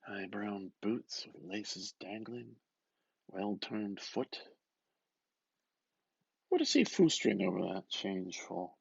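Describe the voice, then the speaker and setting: A man reading a novel aloud with expressive, dramatic narration, musing and often dropping into a hushed murmur. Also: lowish voice, takes it slowly, creaky, long pauses, breathy edge, no echo, highs cut off. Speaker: reader. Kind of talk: expressive literary audiobook narration.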